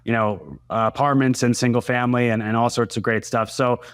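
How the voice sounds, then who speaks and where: A man's voice: mid-range voice, steady tone, a little fast, fairly bright tone, no room sound, studio quality. A man hosting an interview podcast, moving between a prepared introduction and casual questions. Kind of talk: scripted show introduction, then casual interview.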